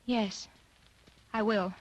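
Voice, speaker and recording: An actress playing a devoted, anxious mistress drawn into murder in an old-time radio drama, speaking haltingly. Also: female voice, medium pitch, steady tone, highs cut off. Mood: anxious, resolved. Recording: band-limited, faintly noisy old radio broadcast.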